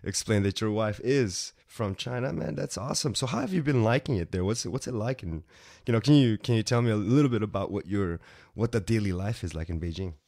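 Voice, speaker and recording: Upbeat low-voiced male podcast host. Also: animated, brisk, vocal fry, darkish tone, no echo, studio quality. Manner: upbeat, chatty, frequent fillers. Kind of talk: casual interview chat.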